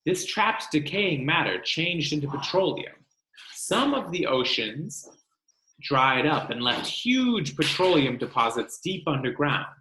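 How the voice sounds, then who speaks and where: A man reading a book aloud to children like a teacher, expressive and instructional, pausing to explain. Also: a little high, slight background noise. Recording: roomy, echoing sound.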